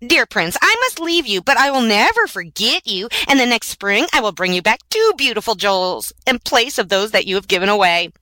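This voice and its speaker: A woman's voice: on the high side, big pitch swings, brisk, few pauses, sharp tone, dry recording, voice pushed up. A woman voicing a small, kind-hearted male swallow in a storybook, earnest, eager and pleading. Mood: wistful. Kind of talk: storybook character voice.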